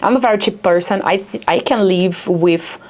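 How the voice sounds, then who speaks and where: A woman's voice: medium pitch, normal speed, darkish tone. A young adult woman from Rio de Janeiro with a Brazilian accent, speaking as a startup founder in a casual interview, with lots of fillers like "like" and "yeah". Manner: chatty, many fillers like "like" and "yeah".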